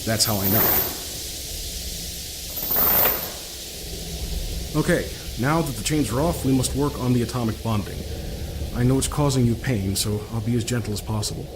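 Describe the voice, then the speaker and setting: A man's voice: medium pitch, fast talker, noisy background, lightly acted. A man playing an eccentric, well-travelled inventor and rescuer in an audio drama, talkative and fussy, with a hesitant, rambling, politely wordy manner. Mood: earnest, gentle.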